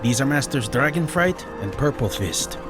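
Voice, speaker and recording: A man voicing a resolute ninja master in a fantasy audiobook, speaking in an earnest, measured way. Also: medium pitch, lively intonation, over music, voice pushed up, close to an everyday voice. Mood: earnest, grave. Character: resolute male ninja master leading weary townsfolk. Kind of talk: dramatised fantasy audiobook character.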